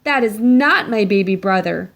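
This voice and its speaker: A woman's voice: medium pitch, lively intonation, normal speed, fairly bright tone. Woman voicing a stubborn, emphatic little girl. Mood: indignant. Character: skeptical, stubborn little girl, an older sister.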